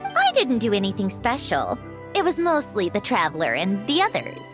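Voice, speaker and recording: Modest young captain, hesitant, lively voice, faint music. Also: female voice, mid-range voice, normal speed. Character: young woman, a ship's captain. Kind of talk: video game voice acting. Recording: soft game music behind.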